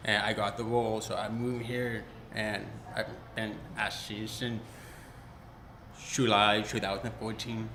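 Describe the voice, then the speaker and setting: Twenties male actor, halting answers, echoey room, faint background hum. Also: on the low side, little pitch movement, sharp tone. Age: 20s. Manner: halting, asks questions to be repeated. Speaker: actor interviewed. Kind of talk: casual interview answers.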